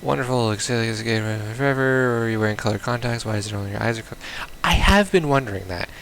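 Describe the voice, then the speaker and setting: A low-pitched man with a gravelly, creaky voice plays a visual novel over background music. He reads the on-screen text aloud in a casual let's play style and drops blunt, baffled asides. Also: lively intonation, rapid-fire, a bit bright. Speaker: gamer playing a visual novel on video.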